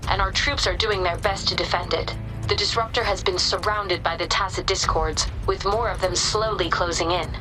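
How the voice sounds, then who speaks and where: A composed female researcher played by a voice actress with a medium-pitched voice. She reports formally and precisely in game cutscene style, with clear music in the background. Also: natural voice. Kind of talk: video game cutscene acting.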